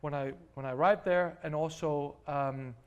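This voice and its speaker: A man who grew up in Denmark, interviewed about his business, with a voice pitched a bit higher than most men's. He walks through his points one step at a time, circling back with restarts and fillers as he goes. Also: steady tone, normal speed, smooth, lo-fi sound. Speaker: startup founder, guest. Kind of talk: interview answers.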